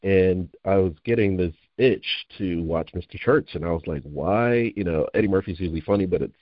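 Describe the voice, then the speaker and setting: A man's voice: low-pitched, normal speed, no room sound. A man hosting an interview, chatting in an enthusiastic, rambling way. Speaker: host. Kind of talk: casual interview talk. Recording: dull lo-fi audio.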